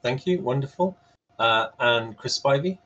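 A man's voice: mid-range voice, lively intonation, measured pace, a bit bright, a little hiss or hum. A man moderating an unscripted panel over a video call, hesitating and repeating words as he goes. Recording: muffled, band-limited video call.

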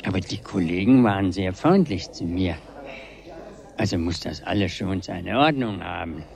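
An older actor playing a frail, absent-minded old pensioner in a 1970s radio drama, speaking haltingly and gently. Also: male voice, sits low, expressive, a crowd in the background. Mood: hesitant, wistful. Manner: halting, hesitant, breathy trailing phrases.

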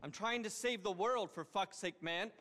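An actor playing an eccentric, self-important tech billionaire in a game, grandiose and petulant, talking as if giving a keynote speech. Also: male voice, high-pitched, normal speed, sharp tone. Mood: boastful. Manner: pompous keynote-speech delivery. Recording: reverberant hall sound.

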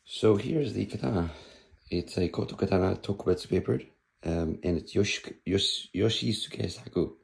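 A man with a hoarse, fading voice, casually showing off a sword with frequent pauses and restarts. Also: low voice. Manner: halting, with many fillers and restarts. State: hoarse, losing his voice. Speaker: sword dealer presenting a katana. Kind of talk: casual show-and-tell.

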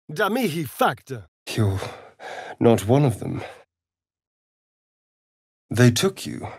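An actor playing a vain, flirtatious, sardonic male vampire in a video game, with an arch, theatrical, breathy delivery. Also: fairly high pitch, no echo, full character voice. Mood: intense. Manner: theatrical, arch, drawling, swinging into breathy murmurs. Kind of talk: dramatic video game voice acting.

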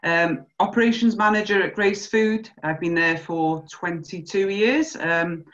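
A woman's voice: on the low side, a little slow, fairly bright tone. A middle-aged woman introducing herself as a panel guest. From North Wales. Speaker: panel guest, operations manager. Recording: dull online call audio.

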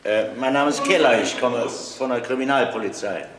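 An actor playing a patient, weary middle-aged police inspector, with a terse, measured, dry delivery in a crime drama. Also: male voice, medium pitch, over a noisy crowd, close to an everyday voice. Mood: sober. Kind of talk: old German TV crime drama acting. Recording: noisy old film soundtrack.

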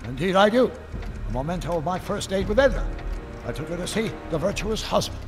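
An actor playing an elderly, stern, autocratic scientist in an animated video game, with pompous, formal and theatrical diction. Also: male voice, sits high, a little fast, big-room sound. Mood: pompous, proud. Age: older. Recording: music behind the voice.

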